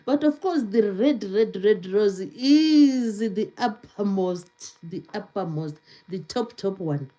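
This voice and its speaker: Slow, mellow-voiced woman live streamer. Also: medium pitch, lively intonation. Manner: chatty, repeats words, laughs often, reads comments aloud. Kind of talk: casual live stream chat greeting viewers.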